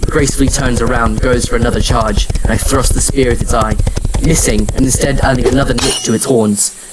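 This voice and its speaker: A man's voice: medium pitch, steady tone, brisk. Male audio drama narrator, noisy background. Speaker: narrator and lead actor. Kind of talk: first-person scripted audio drama narration.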